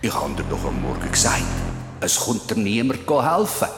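An actor with a Swiss German accent playing a creepy older forester in an audio drama, ominous and insinuating. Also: male voice, lowish voice, huge pitch swings, normal speed. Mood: quietly menacing. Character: creepy older male forester, quietly menacing. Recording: music behind the voice.

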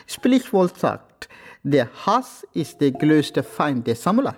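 An actor playing a stern martial arts master who pronounces samurai proverbs in terse, solemn phrases in a radio drama. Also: male voice, fairly high pitch, big pitch swings, slow, full character voice. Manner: terse, solemn, sententious commands. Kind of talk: radio comedy-crime drama acting.

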